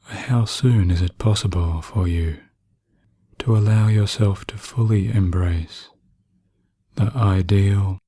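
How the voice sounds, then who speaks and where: A man narrating guided sleep hypnosis in a soothing, hushed manner with long pauses. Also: lowish voice, fairly even intonation, slightly rough, no room sound, clean studio sound.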